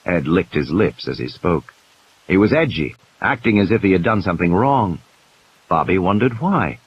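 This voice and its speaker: A man's voice: sits low, animated, moderate pace, slightly rough, dull audio. A man narrating an audiobook play in a dramatic, scripted storytelling style. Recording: hissy, noisy background.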